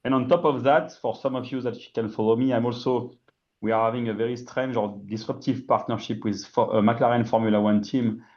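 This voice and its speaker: A man with a French accent speaks as a panelist at an industry event, measured and halting, with frequent fillers. His voice sits at an ordinary middle pitch. The sound is dull and slightly lo-fi. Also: fairly even intonation, a little mellow. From France. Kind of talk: panel discussion introduction.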